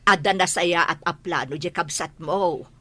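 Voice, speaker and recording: A woman's voice: on the low side, moderate pace, fairly bright tone, no room sound. A woman playing an anxious but firm middle-aged rural mother in a radio drama, with an Ilocano accent. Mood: firm, chiding.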